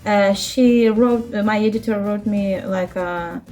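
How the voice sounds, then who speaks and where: A woman in her thirties from Ukraine, a native speaker of Russian and Ukrainian, talking in a casual interview with a Ukrainian accent, halting and searching for words, laughing often. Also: mid-range voice, fairly even intonation, mellow, soft background music. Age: 30s. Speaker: interview guest, children's book translator.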